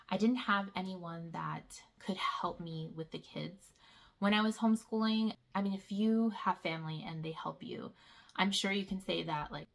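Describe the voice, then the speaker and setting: A chatty woman in her thirties talks casually to her viewers about homeschooling, calling them "guys" as she goes. Her voice sits on the low side and has a gravelly, fry-edged texture. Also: fairly bright tone. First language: not Portuguese. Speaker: homeschool vlogger. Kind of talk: casual chat to camera.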